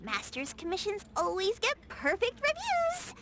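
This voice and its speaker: A woman voicing an excitable, boastful young girl detective in an anime-style game, with an exuberant, declamatory delivery. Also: extremely high pitch, unhurried, very noisy, full character voice. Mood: surprised, cheerful. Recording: music behind the voice.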